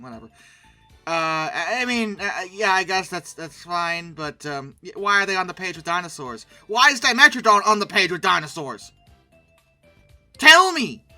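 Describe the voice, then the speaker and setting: Bright, animated, sarcastic commentator. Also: medium pitch. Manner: sarcastic, theatrical, breaking into mock outbursts. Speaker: YouTube host reviewing old dinosaur art. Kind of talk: casual humorous commentary.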